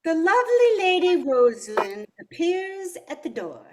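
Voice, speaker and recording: A woman's voice: very high voice, sing-song, unhurried, heavy background noise, exaggerated voice. A woman playing a minstrel who narrates a fairy-tale radio comedy in a theatrical storybook style. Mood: storytelling.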